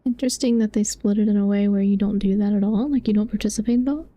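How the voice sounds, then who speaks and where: A woman comments casually on a game as she streams. Her tone is very dark and mellow at a middling pitch, and she thinks aloud in a reflective way. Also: fairly even intonation, quick pace. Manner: musing, reflective. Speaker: livestreamer.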